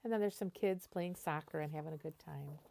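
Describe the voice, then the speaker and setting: A woman reading a children's picture book aloud in an animated way, stopping to explain and translate as she goes. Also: low voice, fairly quick, creaky.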